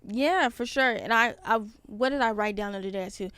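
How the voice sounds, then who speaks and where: A woman's voice: mid-range voice, brisk, clean tone, no room sound. Chatty young woman podcast host. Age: 20s. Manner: chatty, animated, slangy. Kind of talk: casual podcast chat.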